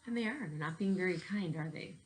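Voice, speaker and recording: A woman's voice: lowish voice, brisk, slight background noise. A woman reading a storybook aloud in the manner of a teacher addressing children.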